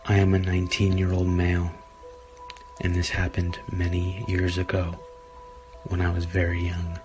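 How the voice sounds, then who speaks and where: A man narrating a scripted horror story in a measured, deliberate way. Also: sits low, monotone. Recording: music behind the voice. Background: background music.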